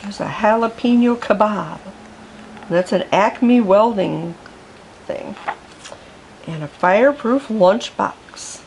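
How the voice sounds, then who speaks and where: A woman reading a picture book aloud to children in an expressive way, pausing to explain words. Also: mid-range voice, a little hiss or hum. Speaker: storyteller.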